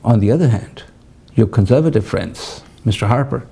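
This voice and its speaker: Guy hosting a tv interview, deep and gravelly, dark tone, leans hard on his questions. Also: expressive. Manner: emphatic, drawn-out questions with numbers. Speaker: TV host.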